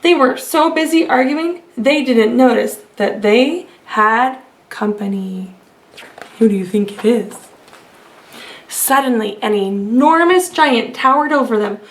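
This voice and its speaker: A woman reading aloud to children as a storyteller, expressive and asking her listeners questions. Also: a little high, measured pace.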